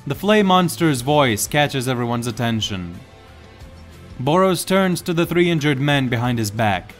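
A man's voice: mid-range voice, lively intonation, measured pace, faint music behind. A man reading scripted, dramatic story narration as the narrator.

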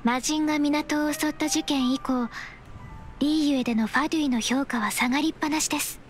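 An actress voices an earnest young secretary from an anime game. Her high, youthful voice is formal, measured and firm, with polite sternness. Faint music sits behind her. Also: steady tone, lightly acted. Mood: earnest, wary. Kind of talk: Japanese anime-style game voice acting.

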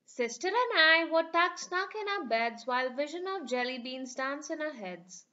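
A woman's voice: high-pitched, expressive, moderate pace, bright, forceful tone, highs cut off. A woman reading a rhyming picture book aloud for children in a sing-song storytelling manner.

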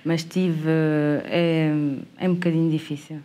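Low-voiced woman, soft-spoken actress guest. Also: little pitch movement, unhurried. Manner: short, soft-spoken answers. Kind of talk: interview answers. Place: TV studio set.

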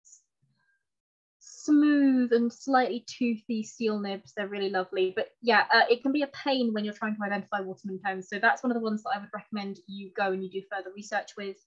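A woman's voice: medium pitch, brisk. A woman, giving an informal, chatty, self-deprecating online presentation. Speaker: presenter giving a talk on fountain pens. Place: indoor room at home, on a video call. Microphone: video call microphone. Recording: dull lo-fi video call audio.

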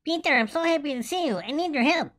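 A woman voicing a small, starstruck young male taco in a cartoon, earnest and excitable. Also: high voice, fast. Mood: eager. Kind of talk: animated cartoon voice acting.

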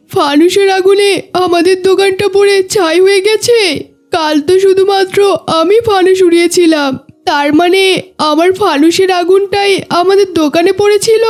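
A woman's voice: very high-pitched, darkish tone. A woman voicing a whiny, petulant young schoolboy in a children's moral cartoon. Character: defiant, fun-loving schoolboy, later remorseful.

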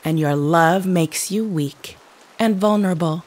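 An actress playing a composed, cutting, otherworldly woman in an audio drama, with measured and formal phrasing. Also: on the low side, darkish tone, close to an everyday voice. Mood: cold taunting.

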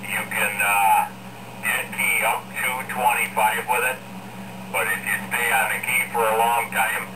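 A man chatting casually and haltingly over CB radio, giving advice to another hobbyist. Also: medium pitch, flat delivery. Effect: CB radio transmission. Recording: CB radio speaker, very loud static.

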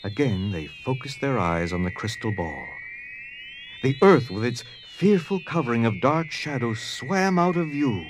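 A man's voice: mid-range voice, expressive, a little slow, a little hiss or hum, close to an everyday voice. A man playing the suspenseful narrator of an audio drama, reading scripted storybook narration. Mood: dramatic. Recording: faint music under narration.

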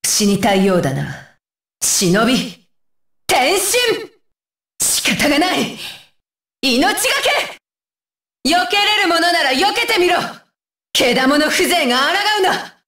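A woman's voice: high-pitched, very animated, slow pace, long pauses, a bit bright, no room sound, clean studio sound, exaggerated voice. A woman playing a fierce, disdainful young female shinobi with a curt, commanding manner, in anime video game battle lines. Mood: fierce, threatening.

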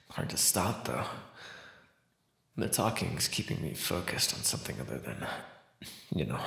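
Gravelly, starving captive vampire acted by a man. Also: a bass voice, fairly bright tone, echoey room, exaggerated voice. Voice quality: dry-throated, gravelly. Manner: wry, rambling, sweary, halting. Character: starving captive male vampire, sardonic, desperate and predatory. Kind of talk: ASMR roleplay acting.

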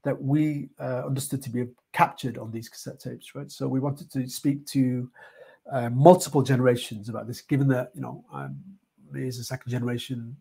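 A man's voice: medium pitch, normal speed, gravelly, warm tone, breathy edge. A middle-aged man with a British accent giving an informal slide presentation over a video call, thinking aloud with frequent hesitations. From United Kingdom. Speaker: artist and project leader presenting a heritage talk. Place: indoor room, online video call.

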